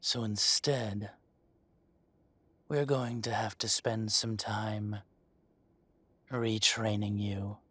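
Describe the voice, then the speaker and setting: Man acting as menacing dragon mafia boss, low husky voice, slow deliberate delivery, possessive, condescending, audio roleplay monologue. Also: fairly even intonation, a bit bright, no room sound, heavily acted. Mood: controlled, possessive. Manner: slow, deliberate, condescending threats with heavy pauses. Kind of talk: dramatic roleplay monologue addressed to the listener.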